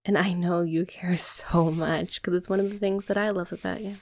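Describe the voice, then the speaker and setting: Playful woman with a gravelly edge. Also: on the low side, fairly even intonation, moderate pace. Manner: soft, playful and teasing, talking to the listener. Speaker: ASMR creator. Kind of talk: ASMR girlfriend roleplay.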